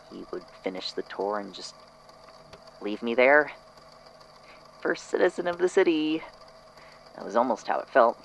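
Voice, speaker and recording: Man acting unsettled storyteller, low gravelly voice, hesitant, reflective, eerie audio drama, slight background noise. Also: fairly bright tone, low-quality recording. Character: unsettled man haltingly recounting an eerie memory.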